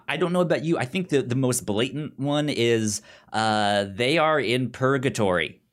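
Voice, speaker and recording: A man in a casual film discussion, talking haltingly and stammering over repeated words and syllables. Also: mid-range voice. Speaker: film podcast host.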